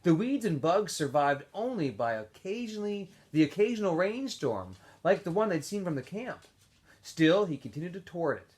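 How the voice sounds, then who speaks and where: Animated man reading a novel aloud. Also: high voice, normal speed. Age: adult. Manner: expressive reading with asides and self-corrections. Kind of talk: novel read-aloud for students.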